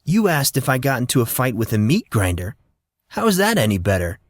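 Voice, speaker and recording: A man's voice: mid-range voice, very animated, brisk, no echo, clean studio sound, close to an everyday voice. Man acting a mocking teenage boy, slangy banter. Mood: cocky teasing. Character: brash, teasing teenage boy, sarcastic and slangy.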